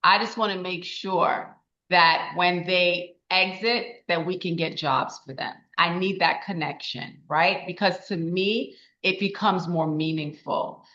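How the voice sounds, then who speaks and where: Raspy, enthusiastic middle-aged woman. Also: fairly low pitch, steady tone, a little slow, bright, forceful tone. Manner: enthusiastic, chatty, with frequent restarts and laughs. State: tired voice after a long day. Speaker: education official giving a report at a council meeting. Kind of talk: informal spoken report at a public meeting. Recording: dull lo-fi meeting recording.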